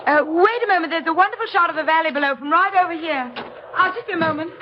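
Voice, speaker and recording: An actress playing a bright, sociable young woman photographer in an old radio drama. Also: very high voice, quick pace. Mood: light, chatty. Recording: muffled, noisy vintage radio recording.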